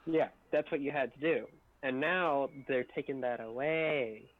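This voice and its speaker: A man's voice: mid-range voice, measured pace. A man chatting casually on a group livestream, explaining things to the others. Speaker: stream co-host. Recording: dull, lo-fi voice chat audio.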